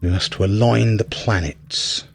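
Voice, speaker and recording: Man, deep rough-edged voice, casual gameplay commentary, hesitant thinking-aloud delivery. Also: steady tone, unhurried, soft background music. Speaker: video game reviewer.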